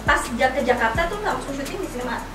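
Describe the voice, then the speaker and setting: Woman host, high voice, inquisitive, casual interview, distant mic. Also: animated, quick pace. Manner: inquisitive, echoes back answers. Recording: echoey, distant mic, music behind.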